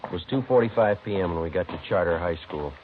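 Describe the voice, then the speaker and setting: A man acting as a low-voiced, stoic American police detective in an old radio crime drama. He talks fast in clipped, deadpan phrases. The recording is muffled and noisy. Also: natural voice. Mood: matter-of-fact. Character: stoic, businesslike male police detective sergeant. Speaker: actor, narrator and lead.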